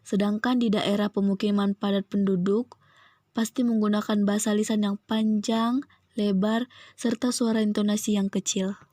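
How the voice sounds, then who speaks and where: A young woman reads her section of a class presentation in a flat, steady monotone. Her voice is mellow and sits close to the microphone in a dry, dead-sounding space. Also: mid-range voice, moderate pace, no room sound. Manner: flat, even recitation. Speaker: student presenter. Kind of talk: reading a school assignment.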